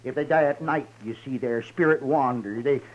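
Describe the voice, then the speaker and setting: An actor playing an old, boastful, stammering frontier windbag in a 1950s radio western. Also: male voice, medium pitch, moderate pace, close to an everyday voice. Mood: rambling. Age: older. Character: old blustering frontier windbag, self-proclaimed Indian fighter. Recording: dull 1950s radio recording.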